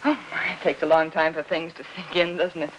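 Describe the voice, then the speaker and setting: An actress playing an anxious, devoted American housewife in a 1950s film noir, speaking gently and earnestly. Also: female voice, sits low, flat delivery, brisk, muffled recording, close to an everyday voice. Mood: wistful, hopeful. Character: anxious, devoted housewife tending her sick husband. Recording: hissy old 1950s film soundtrack.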